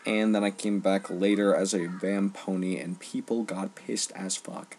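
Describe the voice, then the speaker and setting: Male, lowish voice, informal online ranter, emphatic and halting. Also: fairly even intonation, darkish tone. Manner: emphatic, halting delivery with repeated phrases. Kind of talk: informal opinion rant.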